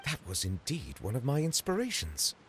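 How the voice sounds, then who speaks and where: An actor playing a reserved, courteous man devoted to duty in a video game, speaking in formal, eloquent, measured phrases. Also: medium pitch, wildly swooping pitch, noisy background. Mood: courteous, humble. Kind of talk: video game character acting.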